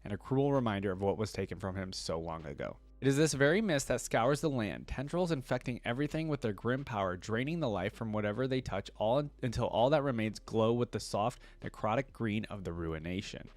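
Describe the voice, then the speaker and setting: A man's voice: lowish voice, expressive, brisk, hardly any pauses, no echo. A man hosting a reaction video, reading lore aloud and adding casual commentary.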